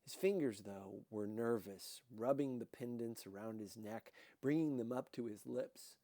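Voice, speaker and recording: A man's voice: mid-range voice, measured pace, mellow, no echo. A man reading a first-person novel aloud as scripted narration. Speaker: audiobook reader.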